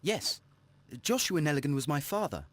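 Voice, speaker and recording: A man playing a troubled young Victorian gentleman in a video game, earnest, formal and defensive, with a British accent. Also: a little high, very animated, moderate pace. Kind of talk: dramatic video game dialogue.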